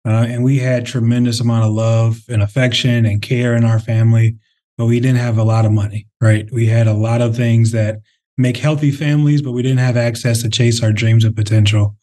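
A man from the Twin Cities, a company head, answering interview questions in a thoughtful, conversational way with frequent pauses and fillers. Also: medium pitch, level tone, mellow. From Minnesota, Twin Cities. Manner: thoughtful, many fillers and restarts. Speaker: real estate CEO, interview guest.

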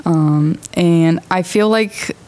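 A young woman from Brazil joins a podcast as a guest, speaking in a measured way. Her voice is very low for a woman, and she talks slowly. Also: darkish tone, rough recording. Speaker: intern, guest. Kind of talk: casual podcast conversation.

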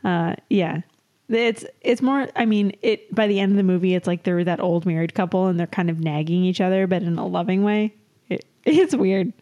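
A woman's voice: on the low side, normal speed, dark tone, highs cut off. A woman co-hosting a podcast in casual banter, giggly and playful, often correcting herself as she goes. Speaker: podcast co-host. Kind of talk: casual banter between co-hosts.

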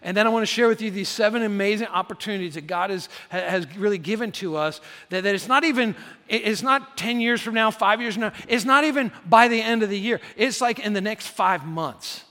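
A middle-aged male pastor preaching in a large church, his voice high and bright. He talks casually, stumbling over and repeating the first words of his phrases. A long hall reverb trails behind every sentence. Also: brisk. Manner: loud rallying shouts of "three claps". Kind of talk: sermon.

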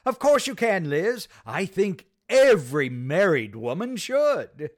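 Man playing theatrical bachelor, high swooping voice. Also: big pitch swings, slow, dry recording, studio quality, full character voice. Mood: astonished, delighted. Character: suave, excitable bachelor man about town, a sitcom friend. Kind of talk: radio sitcom acting.

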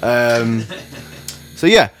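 A man's voice: mid-range voice, expressive, drawn-out words, a crowd in the background. A man hosting a music radio show, chatting keenly about new releases and calling people mate. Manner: enthusiastic, slangy, says mate.

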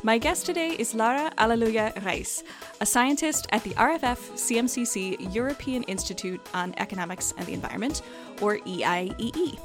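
A woman hosting a podcast, reading a measured scripted introduction and then interviewing her guest. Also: on the high side, music in the background. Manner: measured, with small filler restarts. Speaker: podcast host.

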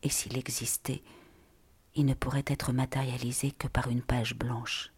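A literary reading in a half-whispered, very husky voice. The phrasing is measured and slow, with plenty of creak at the edges. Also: mid-range voice, level tone.

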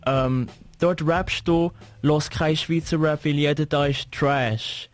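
A man's voice: on the high side, fairly even intonation, slow. A man with a Swiss German accent hosting a casual radio interview, speaking informally with English slang mixed in.

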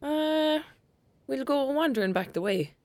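A woman's voice: sits high, expressive, measured pace, a little mellow, dry recording. A woman playing a bold, teasing young friend in an audio drama, with an Irish accent and a wry, cajoling, colloquial manner. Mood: wry, casual. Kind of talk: naturalistic audio drama acting.

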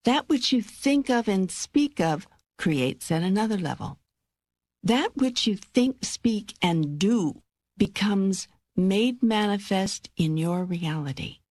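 A woman playing God as a wry, wise, reassuring spirit in an audiobook dialogue, with a measured, assured, instructive delivery. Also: medium pitch, expressive, darkish tone, no room sound. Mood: calm teaching.

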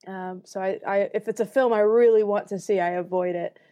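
A woman's voice: medium pitch, steady tone, fairly quick, warm tone. A young American woman and film student, talking casually on a podcast with frequent hesitations and restarted phrases. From United States. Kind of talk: casual chat.

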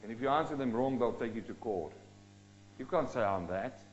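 A man from South Africa preaching a sermon, swinging between loud rising questions and low, slow emphatic drops. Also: animated, muffled recording, slight background noise. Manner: dynamic, rising and dropping sharply for emphasis.

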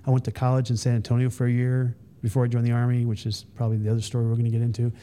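A man in his fifties with a mellow, unhurried tone tells stories as a podcast guest. He rambles, restarts his sentences and drifts off on tangents in a loose conversational flow. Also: medium pitch, monotone, a little hiss or hum. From Texas, US. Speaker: podcast guest, Army veteran. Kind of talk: casual conversational storytelling.

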